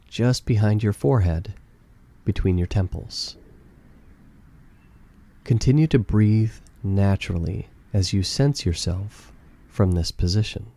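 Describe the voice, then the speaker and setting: Low, mellow man guiding a meditation. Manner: calm, gentle, soothing instruction. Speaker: guided meditation narrator. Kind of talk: slow guided meditation.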